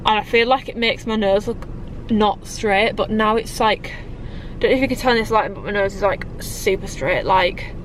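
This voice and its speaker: A woman's voice: mid-range voice, slight background noise, rough recording. A British woman in her twenties, chatting casually to camera with lots of likes and ums, her nose a little blocked from a cold. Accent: British English. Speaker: fitness vlogger. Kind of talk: casual vlog chat to camera. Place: parked car, also home.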